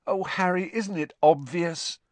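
A man's voice: a little high, wildly swooping pitch, normal speed, breathy edge, dry recording, pitched above the performer's own voice, full character voice. A man reading an audiobook, voicing an earnest, worried teenage girl who is bossy and sensible. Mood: exasperated.

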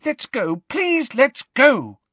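Guy doing a nervous schoolgirl in a storybook, high voice, worried n pleading. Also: very animated, slow pace, bright, forceful tone, dry recording, full character voice. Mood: terrified. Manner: timid, worried, high-pitched. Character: anxious, pleading teenage schoolgirl.

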